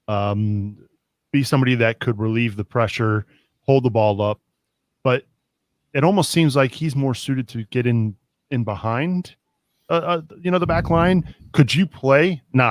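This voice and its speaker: A man's voice: medium pitch, dry recording. A man co-hosting a soccer podcast, thinking aloud hesitantly with fillers and floating ideas as questions. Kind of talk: casual chat.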